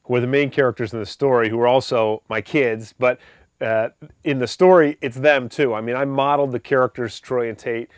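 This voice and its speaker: A man's voice: mid-range voice, fairly even intonation, fairly quick, no echo. A man speaking as an author and audiobook narrator, close on a studio microphone. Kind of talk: scripted narration and informal commentary.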